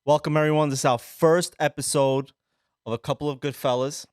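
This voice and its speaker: A man, co-hosting a casual podcast and telling rambling, animated stories with a lot of swearing. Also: a little high, a little fast, no room sound, clean studio sound. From Sicily. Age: 30s. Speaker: podcast co-host. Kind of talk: casual chat.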